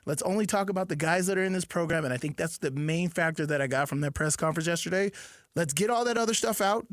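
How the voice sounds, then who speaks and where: A man who played the sport, co-hosting a sports radio show and chiming in with quick agreement and emphatic repeats. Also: on the high side, dry recording, clean studio sound. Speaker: former player turned radio co-host. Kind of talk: casual sports talk.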